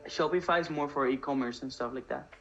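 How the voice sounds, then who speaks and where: A man's voice: mid-range voice, fast talker, creaky. A man from Cuba casually chatting as a guest on a livestream, explaining things step by step in an easygoing way. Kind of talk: casual chat. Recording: slightly dull sound with faint music.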